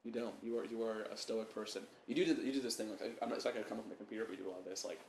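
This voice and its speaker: Fast-talking young man, echoey room. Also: lowish voice, steady tone, darkish tone. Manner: rambling, profane, stammering restarts. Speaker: podcast co-host. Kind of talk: casual banter. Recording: echoey room with faint noise.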